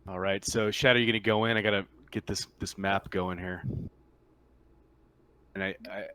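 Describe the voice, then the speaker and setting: A man speaking casually and haltingly as game master of an online tabletop roleplaying session. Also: low-pitched, steady tone, sharp tone, clean tone, dry recording.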